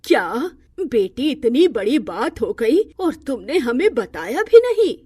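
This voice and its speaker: A doting old grandmother from a cartoon moral tale, put on by a woman narrator. Her voice is mellow and fairly high, full of family concern. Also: big pitch swings, takes it slowly, slightly rough, no echo, clean studio sound, heavily acted. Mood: shocked. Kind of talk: acted cartoon moral-story dialogue.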